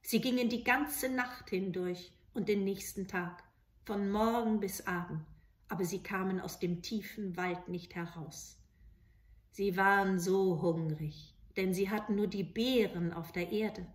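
A woman's voice: mid-range voice, fairly even intonation, measured pace, echoey room. A woman in her forties telling a children's fairy tale expressively, recorded in a room at home. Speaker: storyteller. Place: small living room with bookshelves, indoors.

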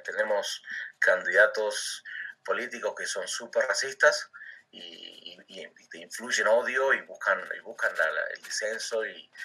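A man's voice: sits low, sharp tone, husky, distant mic, low-quality recording. A middle-aged Argentine man chatting as an interview guest, stumbling and repeating words as he thinks aloud, and laughing easily. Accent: Argentine Spanish. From Argentina, Comodoro Rivadavia. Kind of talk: casual chat.

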